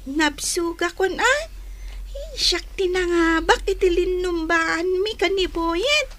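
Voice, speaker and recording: A woman playing a lively little girl in a radio drama, with a Filipino accent. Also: extremely high pitch, takes it slowly, a little hiss or hum, exaggerated voice. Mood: chatty, pleading.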